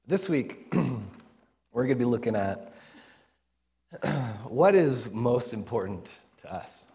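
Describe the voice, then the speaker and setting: Male pastor, raspy strained voice at medium pitch, informal expository preaching, earnest and conversational. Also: big pitch swings, takes it slowly, mellow. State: strained, raspy voice, working for volume.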